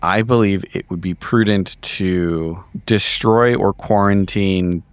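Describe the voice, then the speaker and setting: A man's voice: sits low, takes it slowly, smooth, no echo. A man playing a tabletop roleplaying game, improvising in character with casual, sweary, analytical talk.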